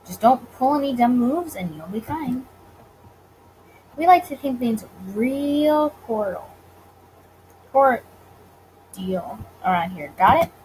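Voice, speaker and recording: Woman doing a gruff, bossy male speakeasy bouncer. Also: on the high side, lively intonation, slow pace, long pauses, a little mellow, a little hiss or hum, poor audio.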